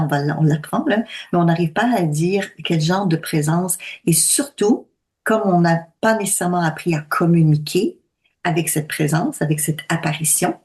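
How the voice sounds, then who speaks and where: A woman in her sixties speaks in a slightly low, calm voice. She explains her points slowly and deliberately in easy conversation. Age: 60s. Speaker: medium. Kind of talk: conversational talk.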